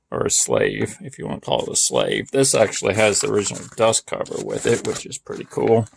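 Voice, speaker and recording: A man giving a casual, unscripted hands-on equipment demonstration in a plain-spoken, offhand way. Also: lowish voice. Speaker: audio repair hobbyist demonstrating equipment. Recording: noisy recording with clear machine hum. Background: loud cooling fan and motor noise.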